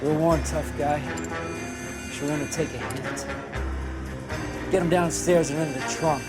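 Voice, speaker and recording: A man's voice: fairly high pitch, fairly even intonation, a little slow, loud music behind the voice, lightly acted. An actor in a noir video game playing a cocky, cruel 1940s gangster henchman with a taunting drawl. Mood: threatening.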